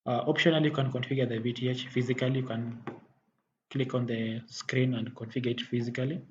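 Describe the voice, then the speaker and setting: A man giving a software tutorial as a technical instructor, halting and often rephrasing as he explains. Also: medium pitch, flat delivery. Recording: dull muffled audio, faint background noise.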